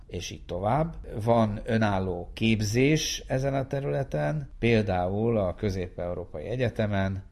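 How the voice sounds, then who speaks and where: A man speaking as a researcher in an explanatory interview, in a measured way, searching for his words. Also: lowish voice, gravelly, darkish tone. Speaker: network science researcher.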